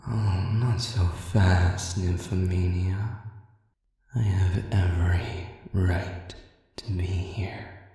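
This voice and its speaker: A man's voice: sits low, fairly even intonation, extremely slow, warm tone, heavy reverb, big-room sound, far from the mic, full character voice. A man voicing a sadistic, smug demon villain in an audio roleplay, drawling with theatrical mockery. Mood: smug menace.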